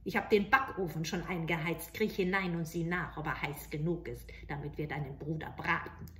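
A woman's voice: low voice, fairly even intonation, moderate pace, fairly bright tone, slight background noise. A woman voicing a greedy, cruel old witch from a fairy tale, barking orders and insults in an impatient manner. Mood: scolding, impatient.

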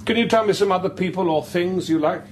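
An actor playing a thoughtful, cautious priest in a radio drama, asking gently probing questions in a hesitant way. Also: male voice, sits high, fast talker, lightly acted. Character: thoughtful, cautious male priest interviewing an artificial intelligence. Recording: clear background noise.

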